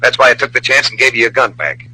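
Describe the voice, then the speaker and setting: An actor playing an undercover hero who poses as a tough, eager truck driver in an old-time radio drama. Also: male voice, mid-range voice, lively intonation, fast, pushed and bright, husky, exaggerated voice. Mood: confident, persuasive. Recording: noisy, humming old radio drama recording.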